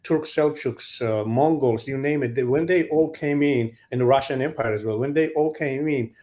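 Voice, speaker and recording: A man from Georgia with a Georgian accent speaking as a panelist, halting but emphatic, often repeating words as he goes. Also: medium pitch, mellow. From Georgia (country). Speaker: panelist, artist. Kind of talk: panel discussion, spontaneous talk.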